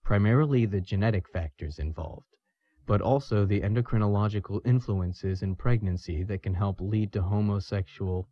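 An actor playing a grave, authoritative expert explaining things in an audio drama. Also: male voice, fairly low pitch, normal speed, dull audio, close to an everyday voice. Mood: grave, explanatory.

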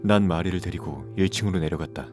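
Male horror narrator, thirties widowed father, acted: troubled, low register, clear music bed underneath. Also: fast, a little mellow, close to an everyday voice. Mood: ominous, subdued. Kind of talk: scripted horror story narration with acted dialogue.

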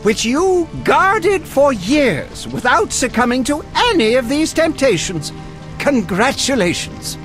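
High-pitched eccentric alchemist. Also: male voice, big pitch swings, slow pace, fairly bright tone, soft background music, heavily acted. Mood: scholarly, fascinated. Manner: erudite, precise, relishing grisly detail. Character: eccentric, morbidly curious male alchemist scholar. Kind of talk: video game dialogue acting.

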